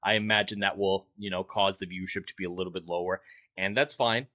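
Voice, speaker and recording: A man's voice: deep voice, steady tone, quick pace, pushed and bright. A man in his twenties hosting a livestream podcast, chatting to viewers in an earnest, welcoming, announcer-like way.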